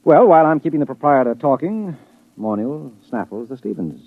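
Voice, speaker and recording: A man's voice: fairly high pitch, sing-song, brisk. A man playing a rambling, apologetic poet in a 1950s radio drama. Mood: sheepish. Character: sheepish male poet, chatty and guilty. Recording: thin phone-like old radio sound.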